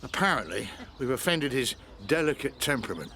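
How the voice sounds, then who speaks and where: An actor playing a touchy, suspicious middle-aged English pub landlord in a radio soap, blunt and sardonic. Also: male voice, mid-range voice, big pitch swings, a little fast, vocal fry, pushed and bright, a little hiss or hum, full character voice. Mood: jealous, sardonic.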